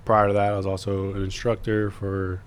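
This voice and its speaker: Easygoing young man, low voice. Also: monotone, normal speed, clear, resonant tone. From Florida, US. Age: young adult. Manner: easygoing, hesitant, many uhs. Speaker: guest. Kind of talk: casual interview chat.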